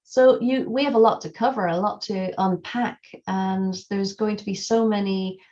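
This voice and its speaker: A woman's voice: medium pitch, fairly even intonation, normal speed, a little mellow, dull audio. A woman hosting a podcast, delivering scripted introductions and interviewing her guest. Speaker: podcast host and coach.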